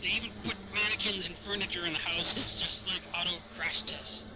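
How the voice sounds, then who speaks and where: A man's voice: high voice, steady tone, a little fast, pitched above the performer's own voice, natural voice. Man acting out a frustrated, bitter, middle-aged teacher, heavy noise. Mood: lecturing, weary. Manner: exasperated, haranguing, emphatic.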